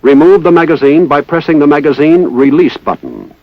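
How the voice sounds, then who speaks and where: Old muffled 60s army film narrator, american guy, kinda high pitched, very precise and formal. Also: fairly quick, no room sound. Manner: formal, precise, methodical enunciation. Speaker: military training film narrator. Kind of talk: scripted instructional narration, 1960s training film. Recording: dull lo-fi 1960s film soundtrack.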